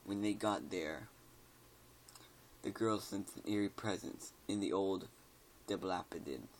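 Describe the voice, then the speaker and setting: Gravelly low-voiced man reading a scary story. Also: unhurried, slight background noise. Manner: stumbles over words and jokes about them. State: tired.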